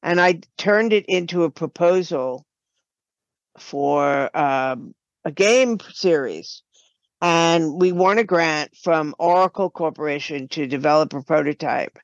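A woman's voice: low voice, slow. An older woman tells stories conversationally as a podcast guest. The recording is slightly dull and lo-fi, like a remote connection, with very little room echo around her. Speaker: podcast guest, writer and producer. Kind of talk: conversational storytelling.

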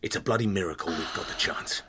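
An actor with a British accent playing a tough, stoical secret agent in a radio drama, clipped and cool. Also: male voice, low-pitched, fast talker, noisy background. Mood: grim resolve.

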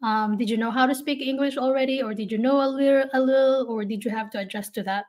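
A woman's voice: fairly high pitch, steady tone, fast talker, darkish tone. A young woman hosting a casual interview over a video call, encouraging her guest with short replies. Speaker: host. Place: small indoor room, video call.

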